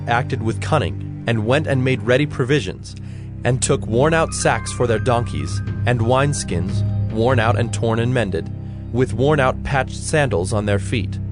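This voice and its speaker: A man narrating scripture from a script in a measured, solemn storytelling manner. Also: mid-range voice.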